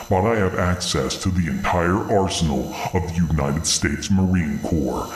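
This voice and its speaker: An extremely deep-voiced giant monster woman, played by a man, delivers boastful, vengeful threats full of swearing. It is a dramatic comic horror reading in a roomy echo, with music underneath.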